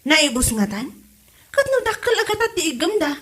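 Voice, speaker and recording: High, swooping, gossipy Ilocano wife played by an actress. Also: female voice, sing-song, moderate pace, fairly bright tone. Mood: puzzled, disapproving. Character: nosy, chatty wife gossiping about her in-laws. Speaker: actor in a comedy drama. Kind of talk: Ilocano comedy radio drama acting.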